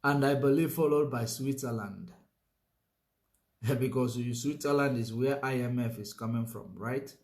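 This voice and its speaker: A man's voice: mid-range voice, normal speed, a little mellow, echoey room. A man giving a political commentary monologue, emphatic, repeating his points and filling gaps with "you know".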